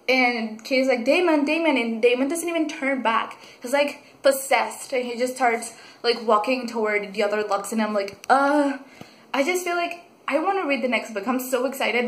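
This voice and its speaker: A young woman from Mexico, reviewing a book in a casual vlog, gushing and excitable with many fillers and restarts. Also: fairly high pitch. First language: Spanish. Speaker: book vlogger. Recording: echoey room.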